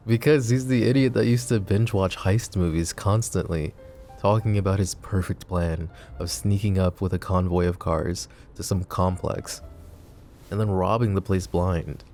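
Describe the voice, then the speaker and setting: A man voicing a flirty, possessive and protective mafia boss in an ASMR roleplay, teasing and soft-spoken. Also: low-pitched, natural voice.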